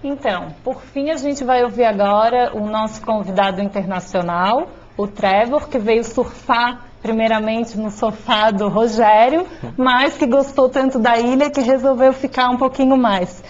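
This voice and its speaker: A woman's voice: on the high side, moderate pace, hardly any pauses. A woman moderating a seminar panel, informal, addressing guests as "tu". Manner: informal, uses "tu". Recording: dull lo-fi sound.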